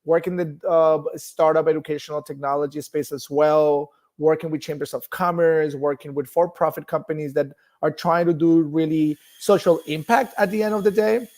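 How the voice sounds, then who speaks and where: Male interview guest, middle-aged, Colombian accent, native Spanish speaker, slightly high register, conversational and talkative. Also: a little mellow. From Bogota, Colombia. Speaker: guest, nonprofit executive. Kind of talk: casual interview, telling own story.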